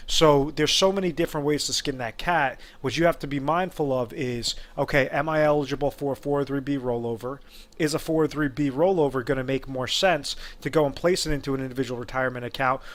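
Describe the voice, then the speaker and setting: A man's voice: medium pitch, a bit bright. Male advisor with a mid-range voice, explaining off the cuff and full of ums. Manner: unscripted, many fillers and restarts. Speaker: retirement advisor. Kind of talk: explainer video talk.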